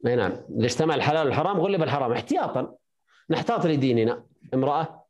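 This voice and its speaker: A man teaching a jurisprudence lesson online, briskly quizzing and correcting his students. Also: on the high side, sing-song, a little mellow, dull audio.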